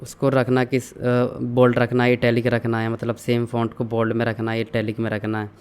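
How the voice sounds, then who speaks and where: Steady, even-toned male tutorial voice. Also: mid-range voice, brisk, clean tone. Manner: steady step-by-step explaining, mixing in English terms. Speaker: online tutorial instructor. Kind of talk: screen-share software walkthrough.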